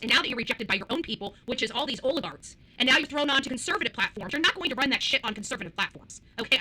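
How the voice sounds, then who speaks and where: Female livestreamer, blunt and combative, sharp forceful voice, racing pace, hammers phrases by repetition. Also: high-pitched. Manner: combative, confrontational, blunt and profane; emphatic, repeats phrases. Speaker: livestream host. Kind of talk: livestream rant to viewers.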